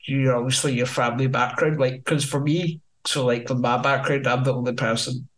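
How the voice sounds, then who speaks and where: A man's voice: medium pitch, steady tone, a little fast, fairly bright tone. A man with a Scottish accent hosting a podcast, easygoing and chatty as he agrees and adds his own points. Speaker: podcast host. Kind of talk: casual podcast chat.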